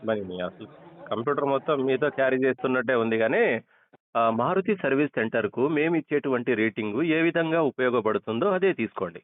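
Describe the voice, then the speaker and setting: Fast-talking man on a muffled phone line. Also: mid-range voice, lively intonation, dry recording. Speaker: customer answering a phone survey. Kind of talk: casual phone conversation. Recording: muffled, band-limited phone call recording.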